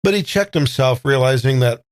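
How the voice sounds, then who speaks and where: A man role-playing in a tabletop game, with a measured, rather formal way of speaking. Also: medium pitch, expressive, no echo. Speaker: player. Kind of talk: improvised in-character role-play.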